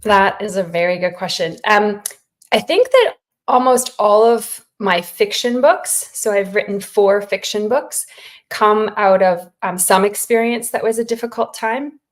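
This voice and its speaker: A woman's voice: mid-range voice, unhurried. A middle-aged woman, an author, giving thoughtful, encouraging answers to questions in a conversational way. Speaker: children's author, interview guest.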